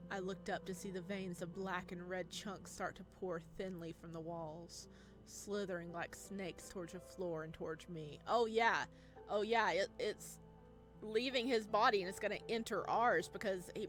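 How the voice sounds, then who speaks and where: A woman's voice: medium pitch, normal speed. A woman reading a visual novel aloud with dramatic narration and exclamatory, spontaneous reactions. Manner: expressive, exclamatory. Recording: game music behind the voice. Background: game music.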